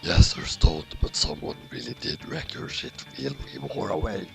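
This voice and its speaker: A woman voicing a gruff, growling male demon sidekick with a croaking voice in a comic story. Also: rumbling low, very animated, normal speed, soft background music, voice pushed down, exaggerated voice. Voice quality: gravelly, croaking. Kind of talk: comic fan fiction dramatic reading.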